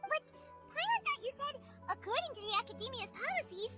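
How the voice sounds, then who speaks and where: A woman voicing a tiny, excitable, naive childlike fairy companion in a video game, with a squeaky voice. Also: fairly quick, soft background music, exaggerated voice. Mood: earnest, worried. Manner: excitable, speaks of herself by name. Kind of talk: animated game voice acting.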